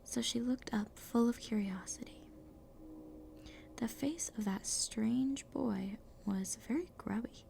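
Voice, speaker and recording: A woman reading a children's storybook aloud in a soft, hushed whisper. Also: a little high, takes it slowly, creaky, slight background noise.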